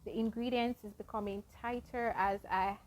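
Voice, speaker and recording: A woman hosting a casual cooking vlog, explaining each baking step to her viewers in an unhurried way. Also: mid-range voice, fairly even intonation. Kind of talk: casual baking demonstration narration.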